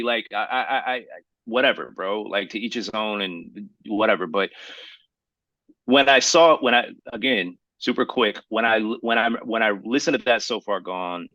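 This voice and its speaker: Man, lowish bright voice, dry close sound, casual podcast chat, halting and rambling, slangy. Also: no room sound, poor audio. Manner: halting, rambling, hedging delivery; slangy, addresses listener as bro. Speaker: podcast co-host.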